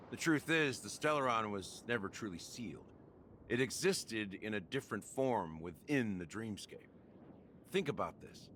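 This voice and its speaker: A man voicing a sly, laid-back middle-aged man with secrets in a video game, speaking in an unhurried, theatrical, knowing way. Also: medium pitch, fairly bright tone, a little hiss or hum. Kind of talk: video game voice acting, dramatic exposition. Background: game music.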